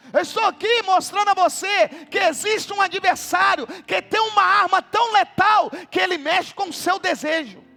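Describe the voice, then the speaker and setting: A Brazilian man, a pastor, telling a story in a sermon and then preaching with rising passion, at times shouting. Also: extremely high pitch, vocal fry, long reverb tail. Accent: Brazilian Portuguese.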